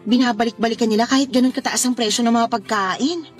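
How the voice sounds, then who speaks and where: A woman's voice: a little high, steady tone, fast talker, faint music behind, lightly acted. An actress playing a sweet, accommodating young Filipino woman, a shy girlfriend, in a radio drama. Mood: nervous, impressed.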